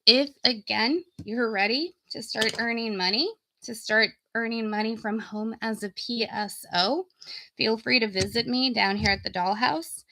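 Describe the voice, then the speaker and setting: A woman's voice: fairly high pitch, unhurried, bright, forceful tone, no room sound, rough recording. A woman hosting a casual, folksy live stream as a coach, her voice wearing out as she comes down sick. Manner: informal, folksy, addressing viewers directly. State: getting sick, losing her voice. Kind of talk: casual live stream chat and sales pitch.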